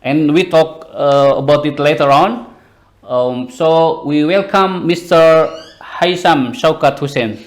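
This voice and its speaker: A man hosting a podcast interview, asking questions in hesitant, halting phrasing. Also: on the high side. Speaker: podcast host.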